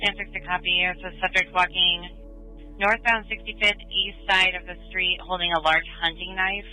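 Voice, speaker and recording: A man's voice: high voice, flat delivery, normal speed, sharp tone, faint music behind, low-quality recording. A man working as a police dispatcher, speaking in clipped, procedural radio calls over a scanner.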